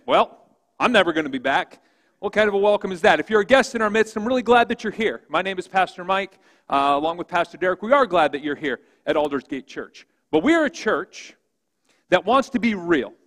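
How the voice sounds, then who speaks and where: A man preaching a sermon as pastor, with a big voice that swings from loud emphasis to quiet, hushed reading. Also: high-pitched, in a large hall. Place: church sanctuary.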